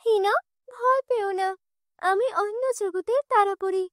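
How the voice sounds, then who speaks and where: A high, gentle voice plays a kind fairy from another world, as a woman puts her on. She sounds reassuring and warm-hearted, like a storybook fairy. The recording is dry and close. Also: measured pace, no room sound. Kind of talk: cartoon dubbing.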